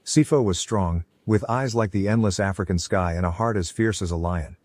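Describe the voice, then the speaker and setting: A man's voice: deep voice, moderate pace, darkish tone, no echo. A man narrating a scripted folk tale as a storyteller.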